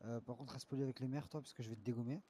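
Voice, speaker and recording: A man's voice: mid-range voice, fairly even intonation, racing, dark tone, slight background noise. A man chatting casually in an online roleplay game, slangy and insistent, often repeating his questions. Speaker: streamer trolling players in an online roleplay game.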